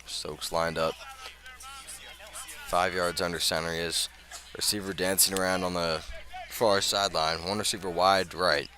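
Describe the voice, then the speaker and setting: A man's voice: deep voice, little pitch movement, a little slow, pauses often, sharp tone. An American man doing live radio play-by-play of a football game in short, clipped phrases. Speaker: play-by-play commentator.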